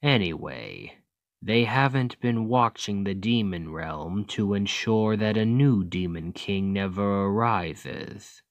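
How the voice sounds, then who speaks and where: Demon king villain for ASMR roleplay, male actor: low voice, very unhurried delivery, condescending, taunting, persuasive. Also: animated, no echo, highs cut off. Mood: cynical, explanatory. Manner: slow, deliberate, condescending, taunting. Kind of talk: ASMR roleplay, scripted acting addressed to listener.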